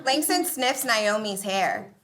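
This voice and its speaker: A woman's voice: fairly high pitch, animated, takes it slowly, a bit bright, slight background noise. A woman narrating scripted stage directions for a live sitcom table read.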